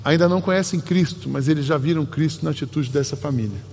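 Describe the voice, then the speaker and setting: A man with a Brazilian Portuguese accent preaching a sermon as pastor, telling stories earnestly with emphatic pauses. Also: on the high side, a little mellow, faint music behind. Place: church sanctuary. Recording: long hall reverb, faint ambient noise.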